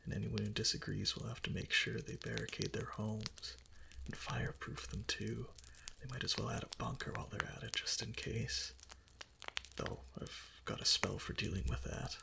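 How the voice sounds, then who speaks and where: Man acting a gravelly, low-voiced, obsessive fox-spirit husband. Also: flat delivery, normal speed, background noise. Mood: possessive, obsessive. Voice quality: breathy, gravelly, often whispered. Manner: soft, hesitant, clingy pillow talk. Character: obsessively devoted yandere kitsune husband, tender and possessive. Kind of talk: intimate romantic ASMR roleplay. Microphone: close ASMR microphone.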